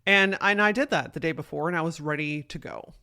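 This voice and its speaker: Deep-voiced woman, candid podcast monologue. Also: fairly quick. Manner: candid, swears freely, animated storytelling. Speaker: podcast host and keynote speaker. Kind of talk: casual solo podcast monologue.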